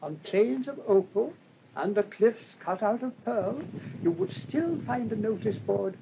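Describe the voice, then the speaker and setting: A small, meek but unshakeable priest in a radio play. His voice is high and swings freely as he gently preaches and reasons, on a slightly muffled recording. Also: animated, measured pace, creaky, exaggerated voice. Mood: earnest preaching. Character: mild, earnest little priest, quietly unshakeable. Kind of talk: radio drama acting. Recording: muffled recording with faint noise.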